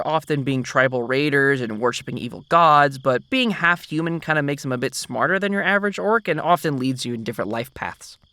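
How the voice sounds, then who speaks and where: Guy doing boyfriend asmr roleplay, patient and explaining, kinda higher voice. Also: a bit bright, no room sound. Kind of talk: soft-spoken ASMR roleplay.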